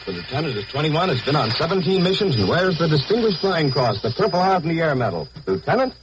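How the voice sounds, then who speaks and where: A man's voice: on the high side, sing-song, fast. A man announcing and interviewing in a formal, scripted 1940s wartime radio style on a scratchy old broadcast. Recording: noisy, scratchy 1940s shortwave radio recording.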